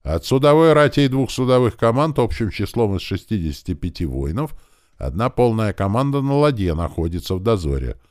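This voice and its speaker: A man's voice: on the low side, sing-song, fairly quick, darkish tone, dry recording, studio quality, voice pushed up. A man voicing a military officer who reports formally in clipped phrases, in an audiobook story. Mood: formal, loud. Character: military deputy officer giving a crisp parade report.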